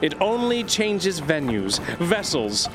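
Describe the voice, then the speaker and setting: An actor playing an eccentric, coldly gleeful doctor in an audio drama, with theatrical, clinical, wordy delivery. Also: male voice, on the high side, sing-song, slow pace, background noise. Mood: gleefully clinical. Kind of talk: audio drama acting, storytelling monologue.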